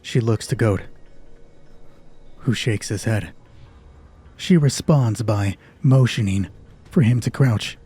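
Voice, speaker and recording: Husky, mellow man running a solo roleplay. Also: lowish voice, slow, long pauses. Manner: thinks aloud, conversational. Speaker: solo game master, channel host. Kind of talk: solo tabletop roleplay narration with casual asides.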